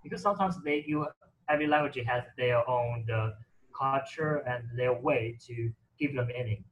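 A man's voice: mid-range voice, moderate pace, muffled recording, slight background noise. A man whose first language is Mandarin, talking casually in English with many fillers and restarts. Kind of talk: casual conversation about reading.

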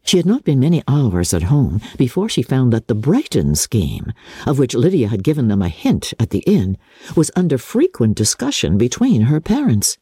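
Low, mellow woman narrating literature. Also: slightly rough, dry recording, studio quality. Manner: measured, expressive storytelling. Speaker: audiobook narrator.

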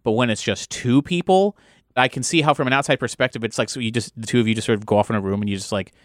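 A man with an average-pitched voice talks casually as a game master. He rambles, breaking off and rewording as he goes. The sound is dry, with hardly any room in it. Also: fast, no echo. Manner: rambling, discursive, breaks off and rephrases thoughts. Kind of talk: casual chat.

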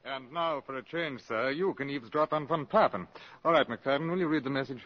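An actor playing a brisk, businesslike counter-espionage officer who questions and reports, in 1950s radio drama style. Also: male voice, mid-range voice, close to an everyday voice. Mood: brisk, pleased. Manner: probing, businesslike. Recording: dull 1950s radio broadcast.

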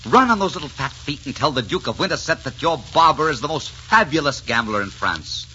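Suave, swooping French rogue in old radio. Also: male voice, a little high, lively intonation, brisk, bright, forceful tone, a little hiss or hum. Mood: playful, bold. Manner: gallant, theatrical, teasing. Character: suave, daring French barber posing as a duke. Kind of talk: 1940s radio drama acting. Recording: dull 1940s radio recording with music.

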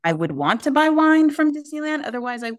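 A woman's voice: high voice, big pitch swings, brisk, no room sound, studio quality. A woman guest on a podcast, chatting and musing aloud, often trailing off and restarting her thoughts. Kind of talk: casual chat.

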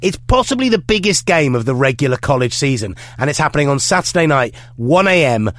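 A man's voice: fairly high pitch, big pitch swings, brisk, fairly bright tone, no echo. A man hosting a casual sports podcast, bunged up with a head cold and rambling through his points. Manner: rambling, with restarts and fillers. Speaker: podcast host.

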